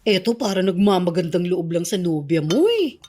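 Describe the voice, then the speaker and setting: Husky, fussing Filipino mom. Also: female voice, lowish voice, normal speed. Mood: nosy, teasing. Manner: fussing, teasing, expressive. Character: doting, nosy middle-aged mother, hospitable and blunt. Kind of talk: Filipino radio drama acting.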